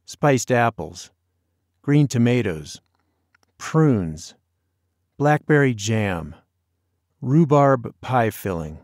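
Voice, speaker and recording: A man's voice: mid-range voice, big pitch swings, extremely slow, pauses often, a little mellow, no room sound, studio quality. A man narrating a novel in a scripted storytelling style. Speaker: audiobook narrator.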